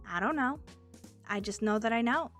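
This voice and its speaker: Casting: a woman as an upbeat, quirky teen girl for a web cartoon dub; fairly high voice, airy and teasing, music underneath. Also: moderate pace, fairly bright tone. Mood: cheerful, breezy. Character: cheerful, quirky teenage girl chatting with a friend. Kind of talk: fan dub voice acting.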